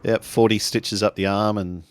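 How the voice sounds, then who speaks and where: A man narrating a tabletop roleplaying game in a halting way, building his sentences bit by bit. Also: lowish voice, fairly even intonation, smooth. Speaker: tabletop RPG player.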